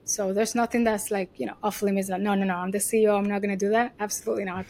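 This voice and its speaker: A woman with a Venezuelan Spanish accent talks through her work in a loose, chatty way. She sounds confident and upbeat, sprinkling her answers with little fillers. Her pitch sits in an ordinary middle range. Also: flat delivery, very fast, a little mellow. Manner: chatty, leans on "you know" and "like". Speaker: agency CEO, guest. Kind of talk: casual interview answers.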